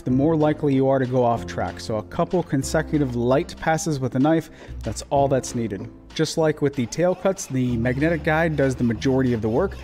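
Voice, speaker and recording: A man's voice: mid-range voice, warm tone. A man in his thirties talks through a woodworking build in a voice-over. His delivery is plain and practical, with small restarts along the way, over faint background music. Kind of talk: voice-over narration of a workshop project.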